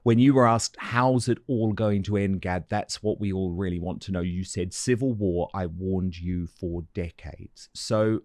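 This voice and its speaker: A man's voice: sits low, unhurried, hardly any pauses, darkish tone, no echo, studio quality. A man hosting an interview and asking indignant questions. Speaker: host. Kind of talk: interview host.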